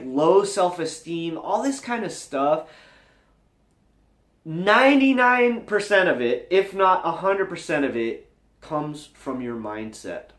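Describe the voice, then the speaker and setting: Earnest man with lively, high-pitched delivery. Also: echoey room. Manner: earnest, confessional, emphatic. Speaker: vlog host. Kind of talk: candid motivational vlog talk to camera.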